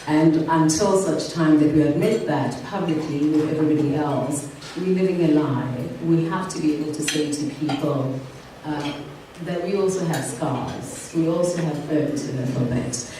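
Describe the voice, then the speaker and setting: A South African woman giving a keynote speech at an awards evening, measured, earnest and reflective. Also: deep voice, mellow, slight background noise. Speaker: keynote speaker. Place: event venue. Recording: echoey hall from far off.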